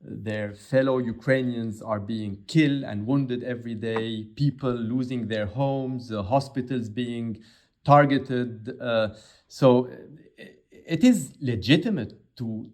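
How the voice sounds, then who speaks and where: A man from Lebanon, the head of an aid agency, answering interview questions in a halting way with many filler sounds. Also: medium pitch, darkish tone.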